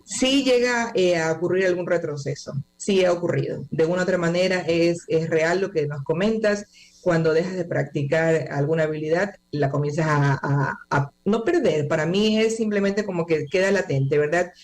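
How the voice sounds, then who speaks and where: A woman's voice: lowish voice, a little mellow. Woman, academy manager as guest, patient explainer, hesitant fillers between points. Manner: measured, explanatory, frequent "eh" fillers. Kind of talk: explanatory interview answers.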